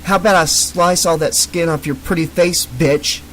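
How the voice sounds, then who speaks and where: A man playing a slow, mock-menacing young woman. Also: on the low side, steady tone, a little hiss or hum, lightly acted, poor audio. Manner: slow, cold, mock-threatening.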